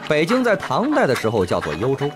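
A man's voice: mid-range voice, wildly swooping pitch, fairly quick, music in the background. A male voice actor playing a pompous, playful middle-aged magistrate detective in a comedic radio drama, lecturing in mock-solemn fashion.

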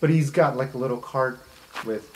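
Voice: medium pitch, moderate pace, a little mellow, slight background noise, rough recording. A game master narrating an improvised tabletop adventure in an informal, conversational way. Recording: music bed under the voice. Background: background music.

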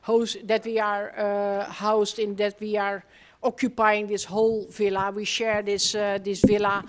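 A woman hosting a live gathering, introducing team members and prompting the audience with quick informal questions over a handheld mic in a large room. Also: mid-range voice, flat delivery. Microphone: handheld microphone.

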